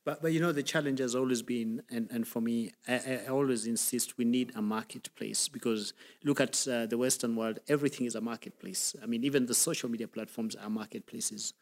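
A man's voice: medium pitch, fairly even intonation, fairly quick, hardly any pauses. A man with a Kenyan accent hosting a casual podcast interview, often repeating words as he talks. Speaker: podcast host.

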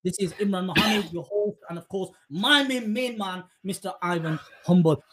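A man's voice: high-pitched, moderate pace, background noise. A man hosting a podcast interview in an effusive, emphatic and wordy conversational way. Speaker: podcast host.

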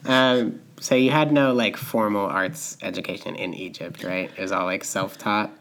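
Low-voiced man interviewing casually. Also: normal speed. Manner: brief encouraging backchannels, relaxed curious questions.

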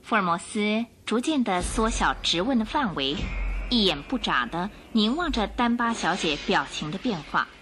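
Bright-voiced woman narrating a radio drama. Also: medium pitch, lively intonation, normal speed, faint music behind. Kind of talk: scripted dramatic storytelling narration.

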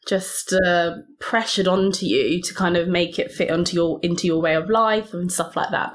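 A woman, a podcast guest, speaks reflectively and hesitantly, with many pauses and fillers. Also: mid-range voice, a bit bright. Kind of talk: reflective personal interview.

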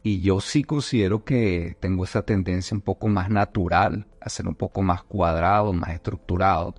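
Male podcast guest from Venezuela, low register, reflective and hesitant delivery, faint music bed. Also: moderate pace, no echo. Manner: reflective, with many restarts and fillers. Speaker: guest, leadership coach. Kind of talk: conversational podcast interview.

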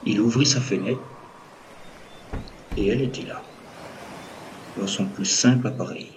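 A man with a lowish voice narrates an audio story. His delivery is scripted storytelling in the past tense. Faint background noise accompanies the voice. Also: measured pace, rough recording.

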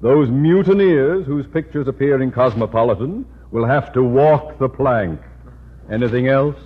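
An actor playing a pompous, tyrannical middle-aged high school principal in radio comedy, speaking in ornate, formal phrases. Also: male voice, a little slow, slightly rough. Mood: menacing, suspicious. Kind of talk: 1950s radio comedy acting. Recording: muffled, noisy old radio recording.

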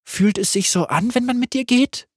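A man voicing a frightened young actress who speaks in a high, stammering, theatrical way, with a husky tone. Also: normal speed, no room sound, clean studio sound. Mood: trembling wistful. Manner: high, stammering, grand stage delivery.

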